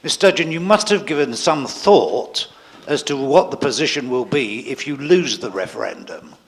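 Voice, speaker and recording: A man's voice: medium pitch, lively intonation, normal speed. A man in the audience, an author, asking a pointed, formal question from the floor.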